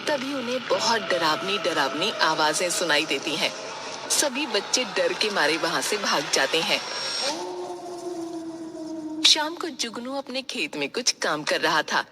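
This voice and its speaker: A woman's voice: mid-range voice, background noise. A woman giving scripted narration of a children's story as its storyteller.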